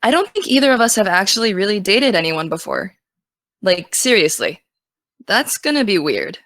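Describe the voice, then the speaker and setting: A young American woman playing an excitable, teasing teenage aspiring journalist in naturalistic, casual audio drama dialogue. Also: medium pitch, moderate pace, no room sound, studio quality. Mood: probing.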